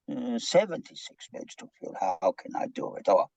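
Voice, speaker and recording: A man playing a gloomy, self-pitying, excitable Austrian psychiatrist in a play reading, lamenting dramatically in broken English with an Austrian accent. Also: mid-range voice, a little slow, creaky, dry recording, highs cut off, exaggerated voice. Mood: despondent.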